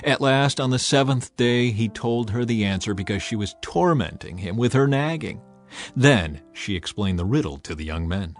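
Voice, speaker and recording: A man narrating a dramatized scripture audiobook as an expressive storyteller. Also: medium pitch, moderate pace. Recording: music behind the voice. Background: music.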